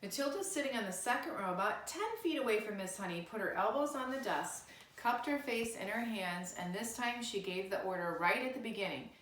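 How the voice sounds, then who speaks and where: Woman, mid-pitched, storybook narrator, scripted read-aloud, roomy echo. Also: expressive, fairly quick, a bit bright. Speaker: storybook reader. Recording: roomy, echoey indoor sound.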